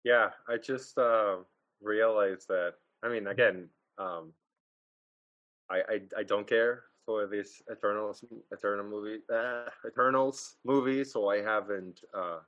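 A man's voice: fairly low pitch, fairly even intonation, unhurried, a bit bright, no echo, lo-fi sound. A man co-hosting a casual film podcast, rambling with fillers and laughing often. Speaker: podcast co-host.

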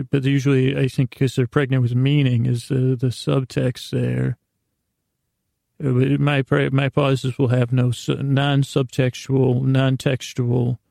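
A weary man with a heavily creaky, dark voice talks listeners toward sleep in a close, dry recording. His delivery is relaxed and little varied in pitch, wandering through self-deprecating asides. He stops often for long, pondering pauses. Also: dry recording. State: tired, worn down. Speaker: podcast host and bedtime storyteller. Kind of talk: rambling, meandering bedtime podcast monologue.

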